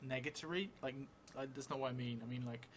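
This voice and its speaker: A middle-aged man thinking aloud as he plays a solo tabletop game, halting and hesitant, often trailing off mid-thought. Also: medium pitch, fairly even intonation, slight background noise. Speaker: solo tabletop role-player streaming a game. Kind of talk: thinking aloud while playing a journaling game. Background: music.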